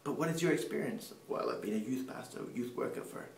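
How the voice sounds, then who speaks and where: Man, 30s, English accent tinged by Peruvian Spanish, measured testimony, echoey room. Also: medium pitch, vocal fry. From Peru. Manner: unhurried, pausing, with restarts. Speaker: speaker sharing family testimony. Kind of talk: personal faith storytelling. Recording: roomy sound from a distant mic.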